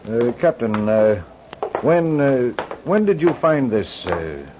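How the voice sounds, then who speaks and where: An actor playing a subdued sea captain in an old-time radio drama, speaking in a halting, hesitant way. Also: male voice, medium pitch, sing-song. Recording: muffled, noisy old radio recording.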